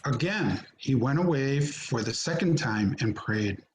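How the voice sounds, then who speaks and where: A man narrating scripture from a script in a deliberate, solemn manner. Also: medium pitch, lively intonation, a little hiss or hum, low-quality recording. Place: remote recording on a video call. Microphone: video call.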